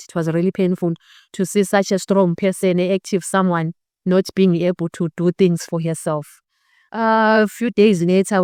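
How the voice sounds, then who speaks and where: A woman's voice: on the low side, dark tone, clean tone, no echo, clean studio sound. A woman in her twenties with a Zimbabwean accent and Ndebele as her first language, earnestly telling her personal story as an interview guest and switching between English and her own language. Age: 20s. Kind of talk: personal testimony in a documentary-style interview.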